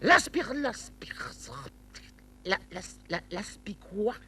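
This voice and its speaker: An actor playing a dim, excitable, childlike cartoon helper who stammers and repeats himself. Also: male voice, high-pitched, slow, slight background noise, exaggerated voice. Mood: bumbling. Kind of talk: cartoon acting.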